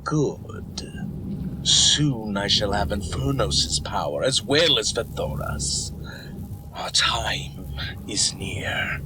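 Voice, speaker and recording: A man's voice: mid-range voice, rough recording. A man playing a scheming, gloating snake villain lord in a fan audio drama, with a mocking, theatrical and cruel manner. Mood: smug menace.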